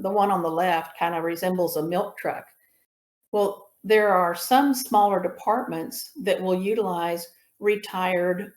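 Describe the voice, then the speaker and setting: A woman giving an informal slide lecture as an instructor, explaining in a measured way with pauses mid-sentence. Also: fairly low pitch, a little mellow. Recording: roomy echo on the voice.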